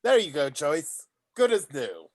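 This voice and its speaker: Bloke doing a matey clumsy odd-job guy in a panto, high voice, really lively up and down. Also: unhurried. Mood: harried, unbothered. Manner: matey, casual. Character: bumbling, easygoing handyman in an amateur panto troupe. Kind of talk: play reading of a stage comedy.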